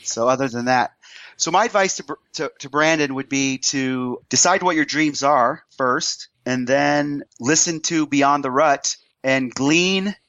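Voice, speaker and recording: A hoarse man from Iowa, a podcast guest, telling stories in a lively, joking way. His voice sits at a middle pitch. The sound is dry and a little dull. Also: a little slow, dry recording. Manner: animated, joking storyteller. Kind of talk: casual chat.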